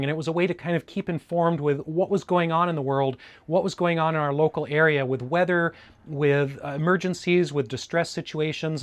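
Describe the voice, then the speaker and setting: A middle-aged man from Alaska with an American accent, talking casually and informatively as a hobbyist video host in a conversational, explanatory way. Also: fairly high pitch. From Alaska, United States. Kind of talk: casual informative vlog talk.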